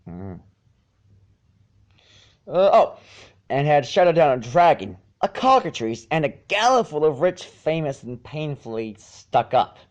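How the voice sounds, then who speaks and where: A man's voice: fairly high pitch, sing-song, measured pace, long pauses, muffled recording. A man reading a story aloud as an amateur narrator, with occasional stumbles and repeats. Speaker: fan fiction reader.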